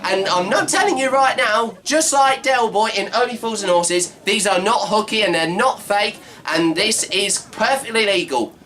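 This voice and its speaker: A man's voice: high voice, normal speed, hardly any pauses, sharp tone. A man playing a brash male Cockney market trader with pushy sales patter who keeps saying "of course". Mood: chummy. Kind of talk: comedy sketch character monologue.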